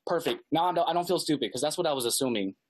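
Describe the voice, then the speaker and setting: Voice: mid-range voice, lively intonation, very fast. An interviewer, chatting casually and hyping up the guest with slangy praise. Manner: enthusiastic, hypes the guest, slangy.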